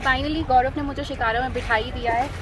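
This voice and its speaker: A woman's voice: high voice, steady tone, fairly quick. A woman travel vlogger chatting candidly and teasingly on a road trip, mixing Hindi and English. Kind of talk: casual vlog chat mixing Hindi and English. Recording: noisy outdoor ambience.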